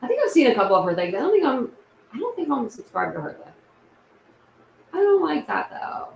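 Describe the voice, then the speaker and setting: A young woman hosts a casual livestream chat, rambling from thought to thought with plenty of fillers and restarts. Her voice carries a gravelly fry. The room sounds echoey, with faint noise underneath. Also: high-pitched, very animated, normal speed. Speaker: YouTube true crime channel host.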